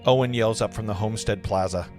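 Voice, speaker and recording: A man's voice: fairly low pitch, quick pace, a little mellow, faint music behind. A man narrating stage directions at an amateur table read, sometimes stumbling over the words.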